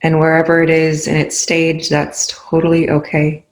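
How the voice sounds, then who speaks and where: A meditation guide leading a session in a soothing, unhurried manner with long pauses between phrases. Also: mid-range voice, monotone. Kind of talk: guided meditation, spoken slowly.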